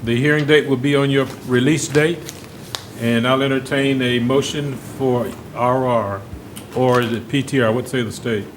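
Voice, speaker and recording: A man presiding as judge at a bond hearing, speaking firmly and repeating his questions until he gets a clear answer. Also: mid-range voice, fairly even intonation, moderate pace, a little hiss or hum.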